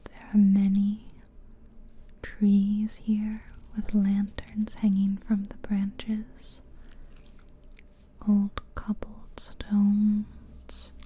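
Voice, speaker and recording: A woman doing a soft-spoken ASMR guided visualization in a breathy whisper, soothing, with pauses between short phrases, close to the mic. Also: medium pitch. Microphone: close stereo ASMR microphone. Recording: close ear-to-ear mic, music behind.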